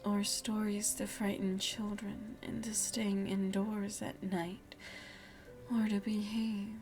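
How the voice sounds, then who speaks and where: A seductive, motherly vampire played by a woman murmurs in hushed, breathy ASMR roleplay. Her pace is slow and deliberate, her tone dark and soft. She coaxes and teases with quiet menace, close to the mic over faint music. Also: mid-range voice, little pitch movement, natural voice. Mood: ominous, intriguing. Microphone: close microphone.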